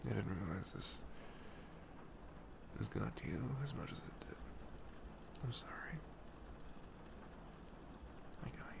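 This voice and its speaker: A young man acting out a protective, tender best friend in an ASMR roleplay, with a low voice and some fry at the edges. He speaks haltingly and earnestly, close and soothing, with pitch that moves around a lot. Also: background noise, full character voice. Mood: tender, reassuring. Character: caring, protective young male best friend comforting someone. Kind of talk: ASMR audio roleplay, intimate casual chat.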